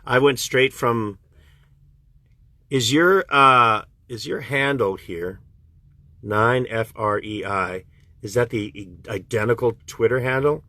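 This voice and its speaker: A man's voice: slow pace, no echo. A man from Canada, a voice actor, chatting casually with fans on a livestream and answering their questions. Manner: chatty and animated, jumps up in pitch when excited. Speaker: voice actor hosting a fan livestream. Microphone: phone livestream.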